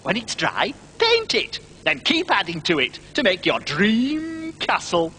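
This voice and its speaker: A woman voicing a cheerful, quirky talking head that gives deliberate craft recaps on a children's television show. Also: mid-range voice, wildly swooping pitch, sharp tone, a little hiss or hum, exaggerated voice. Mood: cheerful, instructive.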